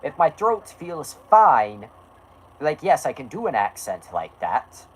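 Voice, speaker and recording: A man's voice: fairly high pitch, wildly swooping pitch, slow, slight background noise, low-quality recording. A man from the United States with a sore throat, chatting casually and theatrically. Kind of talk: casual film review chat.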